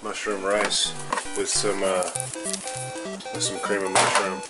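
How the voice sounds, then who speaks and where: A man's voice: low voice, flat delivery, slow pace. A man narrating a casual cooking vlog in a home kitchen, rambling and folksy, often interrupting himself. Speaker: vlogger. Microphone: handheld camera held by the speaker. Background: loud music, sizzling pan.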